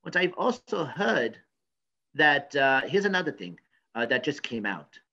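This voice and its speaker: A man's voice: a little high, sing-song, measured pace, bright, forceful tone, muffled recording, slight background noise, full character voice. A man playing a cranky, pompous professor in a comedy news sketch, rambling and stammering. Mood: rambling advice. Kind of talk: improvised mock news interview comedy.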